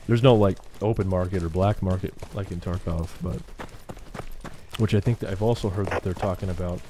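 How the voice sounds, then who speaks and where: A man's voice: deep voice, steady tone, brisk, dark tone, slight background noise. A man commentating casually on his game livestream, chatty, slangy and a little hesitant.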